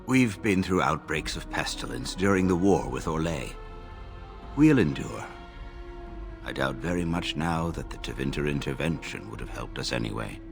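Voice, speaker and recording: Deep, gravelly, courteous elder. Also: male voice, heavily acted. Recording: music behind the voice.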